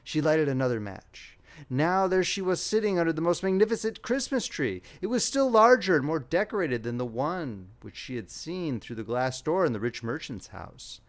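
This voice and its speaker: Male audiobook narrator, fairy tale delivery, very lively intonation, somewhat high voice, theatrical. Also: moderate pace. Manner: expressive, theatrical storytelling.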